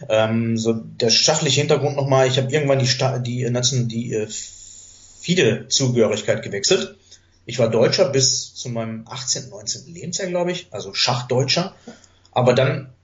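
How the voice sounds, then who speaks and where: A man in his fifties, a podcast guest with Greek as his mother tongue, talks at a middle pitch through a muffled, lo-fi recording. He tells his story in a loose, rambling way, often stopping on fillers and correcting himself mid-word. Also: expressive, normal speed. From Germany. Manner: rambling, many hesitation fillers and self-corrections. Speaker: podcast guest, chess master. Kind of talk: casual conversational storytelling. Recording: dull lo-fi podcast audio.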